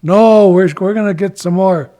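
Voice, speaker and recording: A man's voice: sits high, steady tone, normal speed, warm tone, pitched above the performer's own voice, natural voice. A man playing an eager, boastful would-be warrior who exclaims and coaxes as he talks, in a told folk story. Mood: excited, coaxing. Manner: exclaiming, coaxing, bragging.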